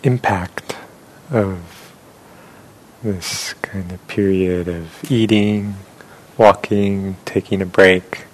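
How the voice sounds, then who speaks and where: A man leading a guided meditation as a teacher, speaking gently and with long pauses. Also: deep voice, fairly even intonation, rough recording.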